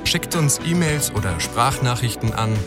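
A man's voice: medium pitch, animated, normal speed. A man reading scripted credits as an announcer. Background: loud guitar music.

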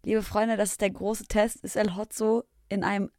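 A hoarse young woman co-hosting a podcast, teasing the guest playfully in casual chat. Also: mid-range voice, flat delivery, normal speed, darkish tone, no echo. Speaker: podcast co-host.

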